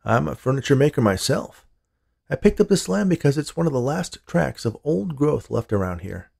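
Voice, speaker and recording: A man voicing a polite, persistent male land buyer in a story, with a calm, folksy, disarming manner. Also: mid-range voice, moderate pace, gravelly, breathy edge, voice pushed up, heavily acted. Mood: friendly.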